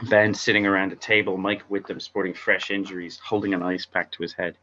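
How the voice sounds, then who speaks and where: Male narrator, lowish pitch, forceful edge, scripted stage directions, dull lo-fi recording. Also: level tone, a little fast, a little hiss or hum. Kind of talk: scripted narration at a table read.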